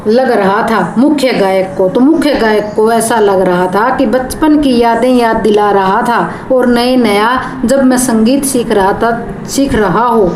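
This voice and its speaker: Slow-paced woman teacher, echoey room. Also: on the high side. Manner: repeats and rephrases, glossing words. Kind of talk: explaining a poem line by line. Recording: echoey room with background noise.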